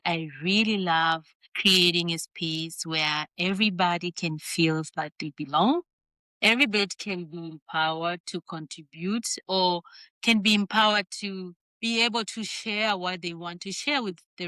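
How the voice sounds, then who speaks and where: A young adult woman from Tanzania chatting on a podcast, lively and talkative, with quick bursts of speech. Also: on the low side, smooth, no echo, rough recording. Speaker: podcast co-host. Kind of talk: casual podcast chat.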